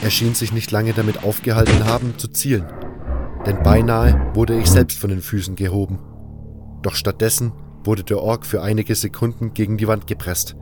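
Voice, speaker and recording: A man's voice: on the low side, steady tone, moderate pace, over loud music. A man reading scripted first-person narration for a fantasy audio drama.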